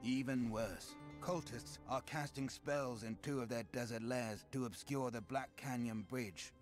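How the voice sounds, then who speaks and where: A man's voice: medium pitch, a little slow, soft background music, close to an everyday voice. A man playing an earnest, battle-worn soldier captain in a fantasy video game, grave and formal. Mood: grave, grateful.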